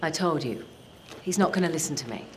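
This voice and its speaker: An actress playing a sly, confident treasure hunter in a video game, with a dry, teasing manner. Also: female voice, deep voice, animated, a little fast, noisy background. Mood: defensive, urgent. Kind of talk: cinematic video game acting.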